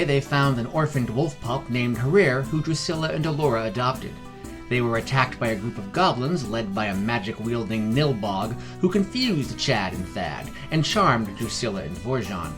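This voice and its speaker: A man's voice: medium pitch, animated, normal speed, music in the background. A man narrating a scripted fantasy story as a podcast narrator, reading expressively. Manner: reads character dialogue expressively.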